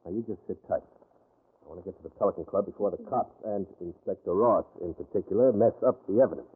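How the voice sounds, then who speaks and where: Casting: world-weary American gumshoe, male, low pitch, wisecracking deadpan, tinny vintage radio mystery. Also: brisk, slightly rough. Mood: steady, pressing. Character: wry, tough little private eye, world-weary but friendly. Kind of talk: 1940s radio detective acting and narration. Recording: tinny, band-limited 1940s radio recording, music behind.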